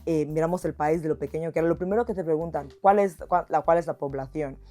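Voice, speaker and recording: Female interviewee, very low pitch, mellow and soft-edged, casual storytelling over faint music. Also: fast. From Equatorial Guinea. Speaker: documentary interviewee. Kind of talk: casual interview talk with anecdotes. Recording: music under the voice.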